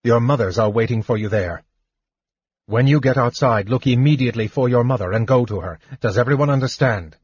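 A man voicing an upright knight in a fantasy audiobook, giving brisk, blunt orders. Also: medium pitch, breathy edge, no room sound, muffled recording, lightly acted. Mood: brisk, orderly.

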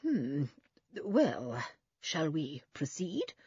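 A woman's voice: low voice, sing-song, drawn-out words, warm tone, slightly rough, no room sound, dull audio, full character voice. A woman voicing a humourless, pedantic man who collects butterflies, in a storybook reading. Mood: mildly bemused. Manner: cold, pedantic. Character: humourless, aloof adult male butterfly collector.

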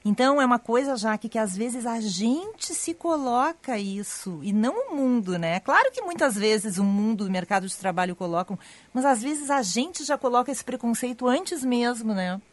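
Radio co-host, woman in her fifties, non-local accent, animated storyteller, slightly high voice, dry studio sound. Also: normal speed, dry recording. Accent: non-local accent in southern Brazil. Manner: lively storyteller, animated pitch swings. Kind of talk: personal story on a radio talk show.

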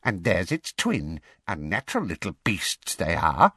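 A man voicing a muttering, servile and spiteful old male house elf, hoarse and croaky, in an audiobook. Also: low-pitched, huge pitch swings, a little slow, sharp tone, dry recording, clean studio sound, exaggerated voice. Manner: muttering, self-pitying, talks of himself in third person.

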